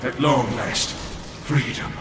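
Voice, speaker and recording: A man's voice: mid-range voice, slow, lightly acted. An actor playing a weary, relieved male ghost who speaks breathily and drawn out. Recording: echoey game audio with loud music.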